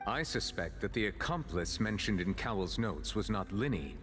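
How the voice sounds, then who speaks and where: A stern, shrewd male judge from an anime game. His voice is fairly low, formal and measured, carrying authority over a bed of music. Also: expressive, a bit bright. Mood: probing.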